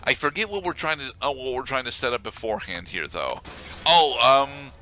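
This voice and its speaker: A man's voice: mid-range voice, big pitch swings, bright, forceful tone. Rambling man commentating over game music. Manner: halting, rambling explanations. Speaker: let's play commentator. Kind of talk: casual gameplay commentary. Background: video game music.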